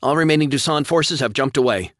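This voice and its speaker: A man voicing an excited male bridge officer calling out loud reports. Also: medium pitch, fairly quick, no room sound, studio quality, voice pushed up, close to an everyday voice.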